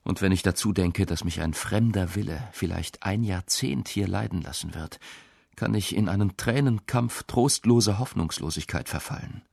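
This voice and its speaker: A man's voice: deep voice, moderate pace, gravelly, a little mellow, no echo, studio quality. An actor voicing an anguished, bitter young poet reading out his despairing letter with brooding emphasis in a radio essay. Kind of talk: dramatic literary quotation in a radio essay.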